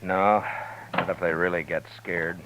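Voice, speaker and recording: An actor playing a steady, firm frontier marshal who speaks in a low, measured, understated way in a 1950s radio drama. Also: male voice, noisy background. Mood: grim. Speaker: lead and narrator. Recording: lo-fi old radio broadcast with music.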